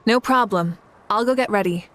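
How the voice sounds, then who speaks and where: A woman playing a confident, warm warrior leader in a video game, speaking with assured good humour. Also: mid-range voice, a little hiss or hum, natural voice. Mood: spirited, assured. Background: music.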